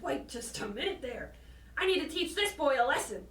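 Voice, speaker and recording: A gloating bully of a prison guard, voiced by a woman in an audio drama. The voice is high and swings widely, full of taunting, folksy swagger and excitable energy. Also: moderate pace, full character voice. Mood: gloating, menacing.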